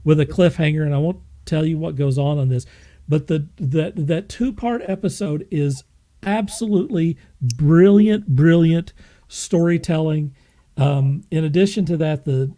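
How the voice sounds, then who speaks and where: A man hosting a podcast, reviewing things in an enthusiastic, casual way, emphatic and effusive with halting repetition. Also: a little high, dark tone. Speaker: podcast host.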